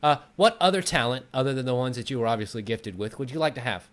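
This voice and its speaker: A man with a medium-pitched voice hosts a casual interview show. He speaks conversationally, putting questions and show remarks to the listener from a bedroom. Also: lively intonation, fast. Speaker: talk show host.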